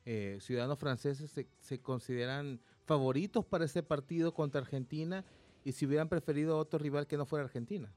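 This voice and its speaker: A man's voice: fairly high pitch, brisk, a little mellow, no echo, faint music behind. A man speaking casually as a radio co-host. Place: radio studio.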